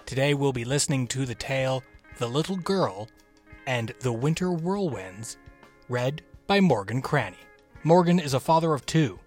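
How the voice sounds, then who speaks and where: A man with a mid-pitched voice hosts a story podcast. He delivers a scripted introduction while music plays behind him. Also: animated, normal speed, a little mellow. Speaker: podcast host.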